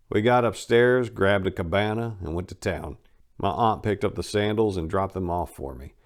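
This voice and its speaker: A man giving a dramatic reading in a conversational, storytelling way with emphasis on key words. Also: fairly low pitch. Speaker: host narrating a dramatic book reading. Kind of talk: dramatic first-person audiobook reading.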